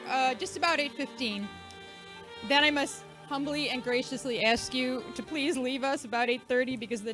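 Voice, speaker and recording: Female event host, high and bright, chatty and emphatic, thanking a crowd informally. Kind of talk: informal thank-you speech to a live audience.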